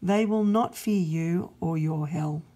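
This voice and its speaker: A woman voicing God as a stern, loving father-king who speaks slowly and with great emphasis. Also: medium pitch, creaky, warm tone, husky, heavily acted. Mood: commanding. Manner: commanding, slow and emphatic.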